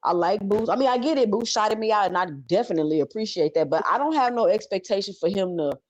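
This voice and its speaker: A man who makes boxing content, chatting on a panel in a halting, questioning way with repeated words. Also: high-pitched, no echo, dull audio. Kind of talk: casual panel chat.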